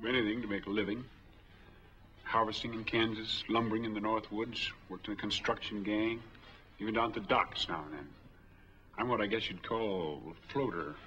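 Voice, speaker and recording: An American actor in his forties playing a smooth, easygoing drifter in a 1940s film drama. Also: fairly low pitch, normal speed, bright, forceful tone. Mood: easygoing, plain. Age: 40s. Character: smooth, easygoing drifter applying for bank money. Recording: dull old 1940s film soundtrack.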